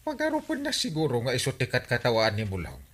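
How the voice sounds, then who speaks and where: An actor with an Ilocano accent playing an exasperated, exclamatory village captain in a comedy radio drama. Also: male voice, medium pitch, huge pitch swings, quick pace, fairly bright tone, husky, heavily acted. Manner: exclamatory, repeats interjections.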